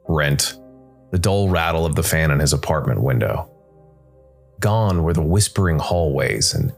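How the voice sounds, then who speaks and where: A man reads scripted dark fantasy narration in a measured way, with dramatic pauses between short phrases. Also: rumbling low. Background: background music.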